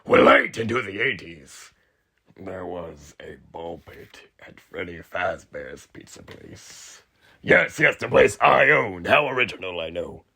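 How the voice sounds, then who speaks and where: A sinister, aging male killer living inside a haunted animatronic. His voice is husky and gravelly and swings widely as he rambles theatrically. He speaks to his listener in a slow, mock-friendly roleplay monologue. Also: low voice, expressive, pushed and bright, pitched below the performer's own voice, full character voice. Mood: theatrical, menacing. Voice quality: raspy, gravelly.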